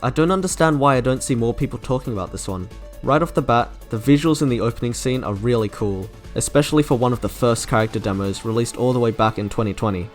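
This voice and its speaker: Man narrating a review over music. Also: medium pitch. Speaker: video host and reviewer. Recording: music behind the voice.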